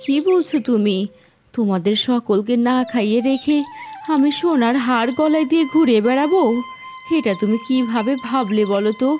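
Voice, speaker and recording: Woman acting a devoted, higher-voiced village wife. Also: normal speed, a little mellow, soft background music, lightly acted. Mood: insistent. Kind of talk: cartoon voice acting.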